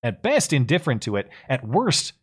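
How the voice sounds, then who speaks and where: A man co-hosting a political livestream, reading viewer messages aloud and commenting casually in a measured, halting, conversational way. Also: mid-range voice, wildly swooping pitch, slightly rough. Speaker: co-host of a political talk livestream.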